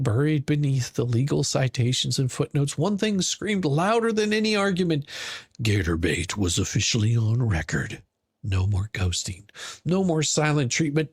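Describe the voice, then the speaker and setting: A man narrating a scripted story in a dramatic, mocking manner, with deliberate theatrical pauses. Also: medium pitch, vocal fry, breathy edge, dry recording, clean studio sound. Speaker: podcast narrator.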